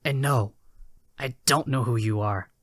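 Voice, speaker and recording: A man's voice: mid-range voice, unhurried, no echo, clean studio sound, voice pushed up, close to an everyday voice. A man voicing a young hedgehog hero, earnest and challenging, in a dramatic audio story with urgent questioning. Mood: wary, questioning. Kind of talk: dramatic audio fan-fiction character acting.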